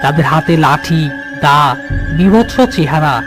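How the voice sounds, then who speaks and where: A man's voice: a little high, animated, slow pace, over loud music. A man narrating a scripted horror story as its storyteller.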